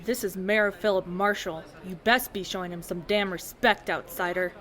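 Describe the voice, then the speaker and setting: A woman's voice: mid-range voice, steady tone, normal speed, fairly bright tone, close to an everyday voice. A woman playing a suspicious, aggressive wasteland sheriff in an audio drama, curt and hostile. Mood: threatening.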